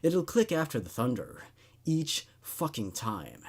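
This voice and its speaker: A man performs a frightened patient in a horror storybook. He is husky and halting, worn by sleeplessness. His anxious delivery rises and falls widely. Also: mid-range voice, big pitch swings, full character voice. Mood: fearful.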